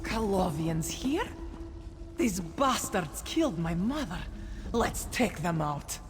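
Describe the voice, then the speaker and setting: A woman's voice: lowish voice, big pitch swings, takes it slowly, music in the background. An actress playing a fierce, hot-tempered young female cat-folk warrior in a video game, bold and emphatic. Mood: enraged. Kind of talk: video game character acting. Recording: long reverberant hall, clear background noise.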